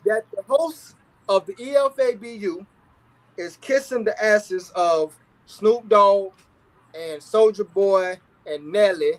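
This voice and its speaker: A man with a notably high voice hosts a loose livestream talk show. He talks in easygoing slang and keeps checking in with listeners. His delivery is relaxed and conversational. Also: slow, poor audio. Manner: laid-back slang, repeats "you feel what I'm saying".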